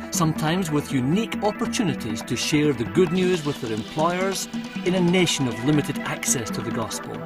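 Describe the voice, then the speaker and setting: Earnest male documentary narrator. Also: a little high. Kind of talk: scripted narration. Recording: music bed underneath.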